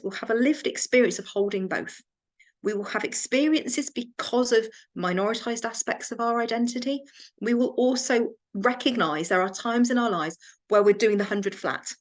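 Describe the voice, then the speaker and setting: An English woman, a counsellor and trainer, giving a reflective training talk with measured pacing and frequent restarts. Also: mid-range voice.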